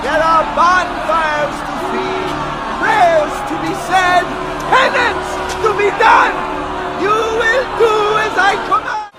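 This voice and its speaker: An actor playing a wrathful, imperious religious zealot in a video game cutscene, declaiming and commanding. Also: male voice, very high-pitched, steady tone, slow, poor audio. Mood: imperious, scolding. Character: fanatical, imperious preacher, self-proclaimed prophet, wrathful zealot. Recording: loud music and crowd behind.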